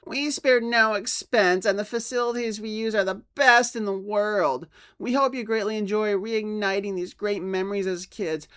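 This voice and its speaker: A man's voice: sits high, fairly even intonation, moderate pace, few pauses, fairly bright tone, smooth. A man voicing a pompous, self-satisfied toy company boss in a mock dramatic reading of a letter. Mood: boastful, self-satisfied. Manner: pompous, salesman-like.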